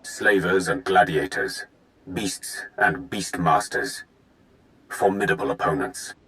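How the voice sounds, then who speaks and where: Stern male Sith strategist: low, forceful, measured game dialogue, formal phrasing. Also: lively intonation, full character voice, poor audio. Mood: coolly tactical. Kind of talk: video game dialogue acting.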